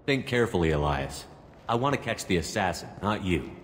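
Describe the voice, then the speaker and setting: An actor playing a steady, resolute male military commander in a video game, speaking in a terse, controlled, direct way. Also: low-pitched, brisk, long reverb tail, a little hiss or hum. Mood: pressing.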